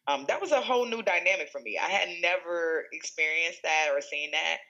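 A woman with a Chicago accent tells stories in an interview, her voice bright and forceful. She talks in an animated way, laughs often and restarts her sentences. The sound is a little dull and lo-fi. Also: on the low side, brisk. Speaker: school executive director. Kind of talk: conversational interview storytelling.

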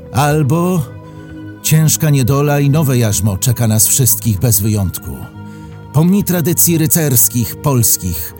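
A man reads a historical military proclamation in a dramatic style. His voice is medium in pitch and dark in tone, with faint music playing behind it. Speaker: reader of historical texts.

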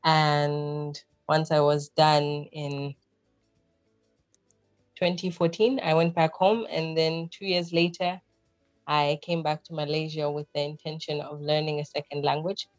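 A woman's voice: sits low, steady tone, measured pace, dry recording, highs cut off, faint music behind. A woman from Zambia in her thirties, a podcast guest, explaining her background and ideas thoughtfully with frequent fillers. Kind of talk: conversational interview answers.

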